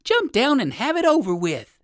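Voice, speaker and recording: A woman voicing a savage, blustering male alligator in a children's story, loud, drawn-out and theatrical. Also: high voice, huge pitch swings, pitched above the performer's own voice. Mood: menacing.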